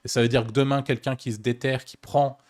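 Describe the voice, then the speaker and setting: A man's voice: mid-range voice, little pitch movement, fairly quick, darkish tone, dry recording, studio quality. A man with a French accent co-hosting a podcast, talking casually with many hesitations and restarts. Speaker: podcast co-host.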